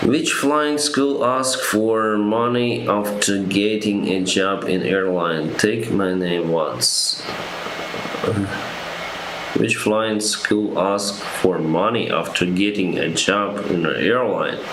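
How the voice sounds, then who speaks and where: A deep, low-pitched young man takes questions from viewers as a pilot on a live stream. He speaks slowly and hesitantly, reading each question aloud before answering. The room sounds echoey, with a steady noise behind him. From Ukraine. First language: Russian. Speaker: airline pilot.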